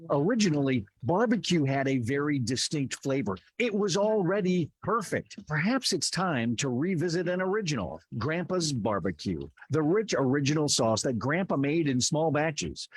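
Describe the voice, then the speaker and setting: A man's voice: a little high, very animated, moderate pace, slightly rough. A man performing a scripted, comedic radio commercial as a voice-over announcer.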